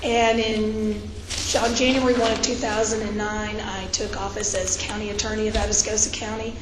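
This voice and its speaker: A woman's voice: mid-range voice, little pitch movement. A woman in her fifties, an attorney with a cold, giving firm character testimony at a hearing. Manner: firm, measured answers. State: hoarse from a cold. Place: hearing room. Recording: echoey room with background noise.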